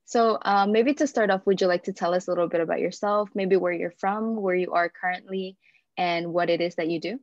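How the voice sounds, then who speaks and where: Woman from Indonesia, interview host, friendly, hesitant fillers, slightly low voice, dull lo-fi sound. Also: steady tone, a little fast, dry recording. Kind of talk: remote interview hosting.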